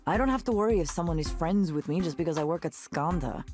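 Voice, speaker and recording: A woman's voice: fairly low pitch, animated, fast talker, music in the background, natural voice. An actress playing an eccentric, passionate reclusive woman musician in a video game, musing and effusive. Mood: resolute.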